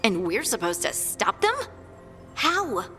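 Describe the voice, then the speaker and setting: A woman's voice: mid-range voice, very animated, takes it slowly, bright, forceful tone, in a large hall, soft background music, exaggerated voice. An actress playing an anxious, earnest young woman companion in a video game, hesitant and emotional in delivery. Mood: anxious, shaken. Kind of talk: dramatic video game acting.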